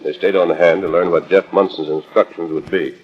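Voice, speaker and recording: A man's voice: low voice, fairly even intonation, brisk, slightly rough. An American man narrating a western adventure serial in dramatic 1940s radio style. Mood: dramatic, earnest. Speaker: announcer and narrator. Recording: muffled 1940s radio transcription, music behind.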